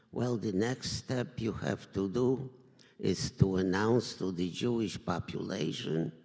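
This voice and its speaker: An older man from Poland, giving a survivor's testimony in slow, measured, halting phrases. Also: fairly low pitch, vocal fry, mellow. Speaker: Holocaust survivor speaker. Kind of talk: personal testimony talk. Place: synagogue hall. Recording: reverberant hall.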